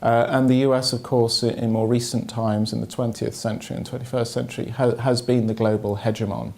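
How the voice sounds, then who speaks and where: A man gives measured, academic analysis. He pauses often to search for words and speaks at a steady level. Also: mid-range voice, vocal fry. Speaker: political commentator. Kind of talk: analytical commentary.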